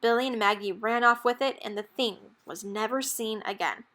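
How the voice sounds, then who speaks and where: Female storybook narrator, higher-pitched voice, expressive and measured read-aloud delivery. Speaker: storybook reader. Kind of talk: read-aloud narration of a children's picture book.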